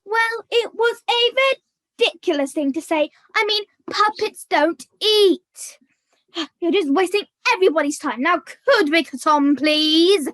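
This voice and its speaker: A young woman plays a bossy, exasperated puppet in a comedy show. The voice is extremely high and sharp, with slow, deliberate scolding in a dry, close sound. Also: no echo. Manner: stern, scolding. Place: video call.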